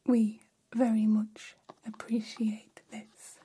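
Gentle, curious female alien played by a woman for ASMR roleplay. Breathy, mellow whisper, formal and halting, very level delivery. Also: on the high side, little pitch movement, heavily acted. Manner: slow, formal, halting, literal phrasing. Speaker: ASMR performer.

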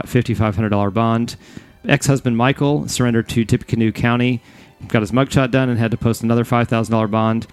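A man's voice: medium pitch, a little mellow. A man hosting a podcast, relaying odd news stories in a casual, chatty style. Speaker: podcast host. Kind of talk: casual news-story banter.

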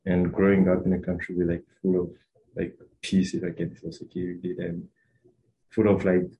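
A man's voice: sits low, completely flat delivery, normal speed, mellow, poor audio. A young man from Rwanda, a student answering interview questions in a hesitant, casual way with many fillers and restarts. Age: young adult.

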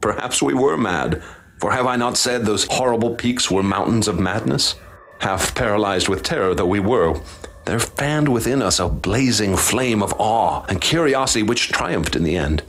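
Deep-voiced man narrating a radio drama. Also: expressive, normal speed, fairly bright tone, low-quality recording. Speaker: narrator and expedition leader.

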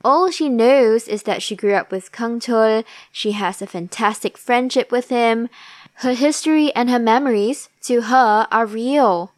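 A woman with a somewhat high, slightly raspy voice talks through a drama episode in a relaxed, reflective way. She sounds tired, as if it is late at night. The recording is close and dry, with no room sound. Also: a little slow, no room sound. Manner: thoughtful, musing. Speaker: podcast host. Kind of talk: casual drama recap.

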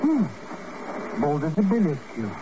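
An actor playing an excitable, chatty, nervous sidekick to a secret agent in a comic, complaining 1950s radio drama style. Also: male voice, a little high, unhurried. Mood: grumbling. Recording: muffled, noisy old radio recording.

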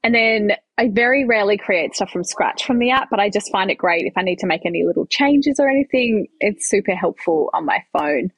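An upbeat, chatty woman talking as a guest on a casual podcast. Her voice is a little husky and breathy. The sound is dry and close, with no room echo. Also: mid-range voice, expressive, a little fast, hardly any pauses. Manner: chatty, upbeat, filler-heavy. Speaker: podcast guest, small business owner.

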